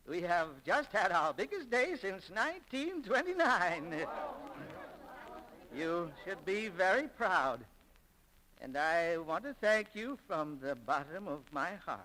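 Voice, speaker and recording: An actor playing a kindly, sentimental older shop owner in a 1940s radio drama, speaking haltingly and with feeling. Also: male voice, high-pitched, very animated, pushed and bright, a little hiss or hum, full character voice, poor audio. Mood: moved, grateful. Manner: halting, emotional, chuckling speech. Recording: vintage 1940s radio recording.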